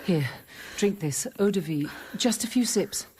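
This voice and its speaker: An actress playing a discreet, thoughtful convent apothecary nun in a radio drama, quiet and earnest in both narration and dialogue. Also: female voice, medium pitch, lively intonation, unhurried, breathy edge. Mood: hushed, urgent. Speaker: narrator and lead character.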